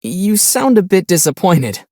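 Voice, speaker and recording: A man voicing a young adventurer in a video game, calm, kind and dryly witty, with a gently teasing, unhurried delivery. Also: sits high, dry recording, clean studio sound, exaggerated voice. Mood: dryly amused.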